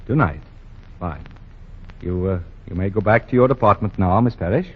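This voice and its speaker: A pompous, kindly store owner, formal and given to stammering in a 1940s radio play. His voice is low and husky, with a lively swing in pitch. The broadcast sounds dull and old. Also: moderate pace. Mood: benevolent. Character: pompous older male store owner, benevolent.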